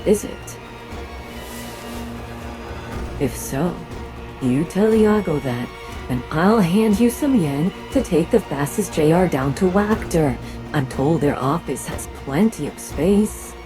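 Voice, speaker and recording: A woman playing a cold, domineering executive in an audio drama, curt, measured and condescending. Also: low voice, expressive. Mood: smug, threatening. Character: cold, domineering corporate executive, sly and menacing. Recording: music under the voice.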